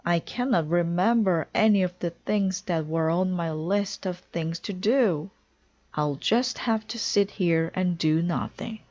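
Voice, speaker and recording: A woman's voice: lowish voice, a little slow, a little mellow, muffled recording, pitched above the performer's own voice, lightly acted. A woman voicing an anxious, stubborn male toad from a children's storybook, plaintive and emphatic. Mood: glum.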